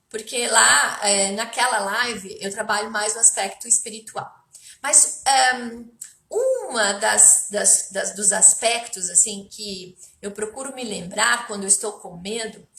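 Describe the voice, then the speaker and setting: A Brazilian woman speaking as a therapist on a livestream, explaining spiritual self-help ideas in a halting way, with rhetorical questions and check-in tags. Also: on the high side, gravelly, sharp tone, echoey room. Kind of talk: spiritual self-help talk to live viewers.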